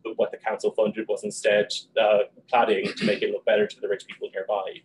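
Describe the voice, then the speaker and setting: A young man speaking quickly on a panel, with many ums and likes, in a small meeting room and recorded by a camera on a video call. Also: fairly low pitch, completely flat delivery, a little hiss or hum, rough recording. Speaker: panel guest. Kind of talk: panel discussion.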